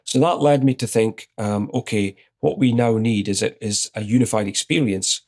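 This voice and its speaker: Low-voiced Scottish man telling a story. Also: moderate pace, creaky. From Scotland. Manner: relaxed anecdotal, retells his own exchanges. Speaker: executive guest. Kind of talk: conversational interview storytelling.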